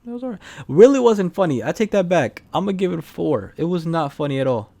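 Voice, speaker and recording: A man's voice: fairly high pitch, lively intonation, fast, vocal fry, dark tone. A man co-hosting a casual film review chat, blunt and free with swearing. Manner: blunt, profane. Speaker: podcast co-host reviewing films.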